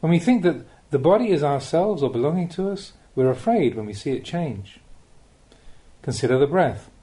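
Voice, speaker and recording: A man, a Buddhist monk, reading a scripted spiritual teaching as an audiobook, with measured, deliberate phrasing and rhetorical questions. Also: fairly high pitch, lively intonation, mellow.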